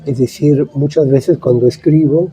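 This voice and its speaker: A man in his seventies, a native Italian speaker, giving thoughtful, measured interview answers with frequent pauses. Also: a little high, fairly even intonation, dark tone, slightly rough, rough recording. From Italy. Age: 70s. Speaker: guest writer.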